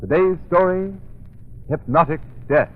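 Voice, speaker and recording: A man's voice: on the high side, measured pace, over loud music. A man announcing a drama in scripted 1930s radio narration. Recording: tinny old radio recording.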